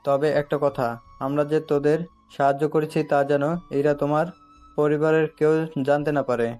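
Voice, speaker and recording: Middle-aged uncle, cautious and helpful, even male delivery, audio story male performer. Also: on the high side, normal speed, clean tone, faint music behind, close to an everyday voice.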